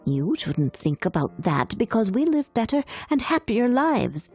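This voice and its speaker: Elderly mermaid grandma, played by a female narrator: husky, creaky, measured storybook explaining, gently discouraging. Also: a little high, sing-song, soft background music, voice pushed up, heavily acted. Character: old mermaid grandmother, wise, matter-of-fact, discouraging.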